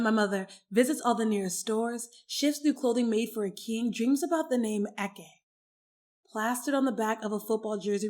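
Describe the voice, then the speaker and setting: A woman in her twenties performing dramatic spoken word poetry, with theatrical, deliberate phrasing and emphatic stresses. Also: fairly high pitch. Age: 20s.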